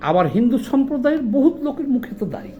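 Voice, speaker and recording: A man's voice: very high voice, lively intonation, very fast, warm tone, faint music behind. A man with a Bangladeshi accent giving informal video commentary, indignant and argumentative, posing rhetorical questions in colloquial speech. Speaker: social commentator.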